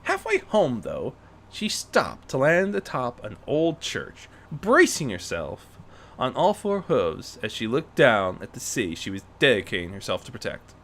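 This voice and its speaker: A man reads fiction aloud as narrator in a bright, forceful voice. His pitch is very lively, rising and dropping widely across phrases. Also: unhurried. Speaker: fan fiction reader and narrator. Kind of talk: animated story narration.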